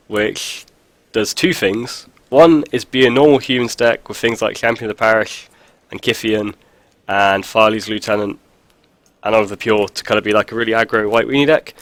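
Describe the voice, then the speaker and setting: A man's voice: medium pitch, fairly bright tone, no echo. Sniffly man chatting with a cold. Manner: rambling, filler-heavy, dry jokes. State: stuffy with a cold. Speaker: video host. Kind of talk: casual gameplay commentary.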